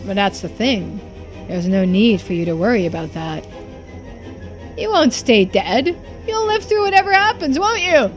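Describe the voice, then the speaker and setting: A woman's voice: on the high side, animated, measured pace, soft background music. A woman voicing a stern, domineering male businessman from a visual novel, with a scornful, commanding manner. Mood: scornful, pressing.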